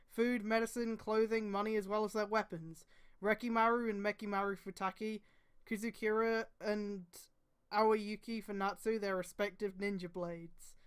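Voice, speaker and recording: A woman's voice: medium pitch, clean tone. A woman reading amateur fan fiction aloud on a stream, breaking off for casual, exasperated asides with some swearing.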